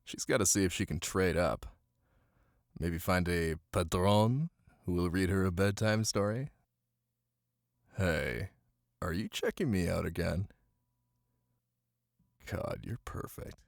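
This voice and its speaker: A man doing a cozy boyfriend ASMR bedtime reading, soft, intimate and playful. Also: low voice, takes it slowly, gravelly, long pauses, dry recording, clean studio sound. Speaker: ASMR voice actor playing a caring boyfriend. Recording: light hiss under rain ambience. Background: rain.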